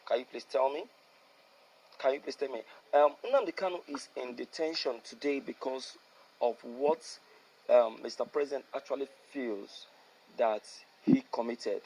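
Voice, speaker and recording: A man's voice: mid-range voice, moderate pace, vocal fry, darkish tone, low-quality recording. A man giving opinionated news commentary to his listeners, emphatic, repeating phrases and posing rhetorical questions.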